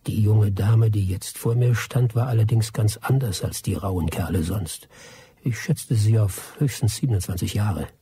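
World-weary middle-aged trader in a radio drama, really raspy low voice, dry and sceptical. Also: male voice, moderate pace, warm tone. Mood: reflective. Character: world-weary middle-aged English expatriate typewriter dealer, gruff, sceptical. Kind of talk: radio play narration and dialogue.

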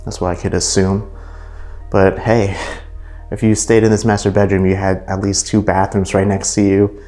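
A man's voice: deep voice, flat delivery, normal speed, mellow, soft background music. A man casually narrating as he walks through an abandoned mansion, easily impressed and full of fillers, recorded on a camera mic indoors. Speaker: urban explorer vlogger.

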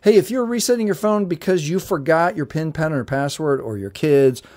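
A man's voice: a little high, fairly quick, a little mellow. A man hosting a tech tutorial, talking the viewer step by step through resetting a phone in a direct, repetitive way. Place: indoors at a table, phone held to camera.

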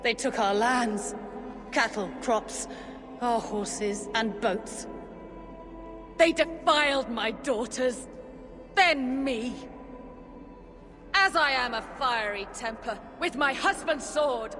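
A woman's voice: on the high side, slow, pauses often. An actress playing the proud, fiery ghost of an ancient warrior queen, solemn and dramatic, in a video game. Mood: fierce, proud. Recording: long echoing reverb with music behind. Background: music.

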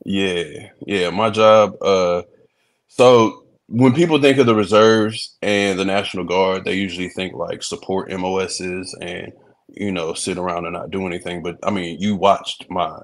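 A man with a very low, deep voice talks over a video call in an easygoing way. He is a comedian from Texas who chuckles often and restarts his phrases. The tone stays laid-back throughout. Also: unhurried. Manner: relaxed, laughs easily, repeats words at starts. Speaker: comedian and reality show guest. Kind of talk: casual video-call interview. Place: indoor room.

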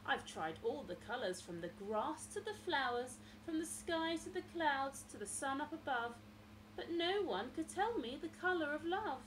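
A woman's voice: high voice, sing-song, moderate pace, a bit bright, voice pushed up. A woman voicing a small, curious young male elephant in a storybook, asking earnestly, in a noisy room recording. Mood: puzzled, pleading. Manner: questioning, earnest.

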